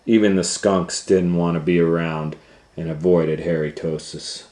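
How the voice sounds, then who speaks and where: A man with a deep voice reads a picture book to children at a slow, unhurried pace. He hosts the storytime in an animated way and often steps out of the text for casual, chatty asides. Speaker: storytime reader and host.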